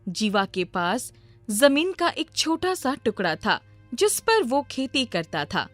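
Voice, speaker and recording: High, lively woman storyteller. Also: takes it slowly, no room sound, faint music behind. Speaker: story narrator. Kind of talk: scripted storybook narration.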